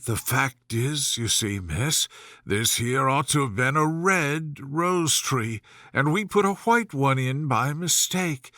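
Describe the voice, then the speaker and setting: A man's voice: on the high side, bright, forceful tone, dry recording, studio quality, heavily acted. A man voicing a humble, anxious playing-card gardener in a storybook, deferential and hesitant. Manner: deferential, hesitant, rustic phrasing.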